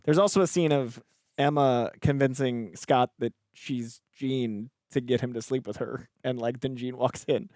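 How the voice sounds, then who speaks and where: A man's voice: medium pitch, fairly even intonation, normal speed, a little mellow, no room sound, clean studio sound. A man co-hosting a reaction show, casually explaining comic-book history in long, rambling run-on sentences. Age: adult, feels he is getting older.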